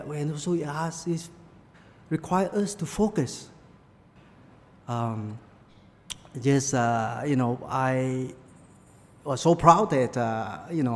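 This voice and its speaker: A man from Malaysia whose first language is Chinese, preaching a sermon with personal stories in halting English full of fillers. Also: mid-range voice, a little mellow, big-room sound. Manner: halting, many fillers, non-native English phrasing.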